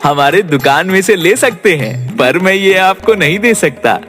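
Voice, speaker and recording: A man's voice: high-pitched, lively intonation, a little slow, a bit bright. A man voicing a stubborn miserly merchant in a comic cartoon, pleading and insistent. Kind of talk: cartoon comedy story acting. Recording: music behind.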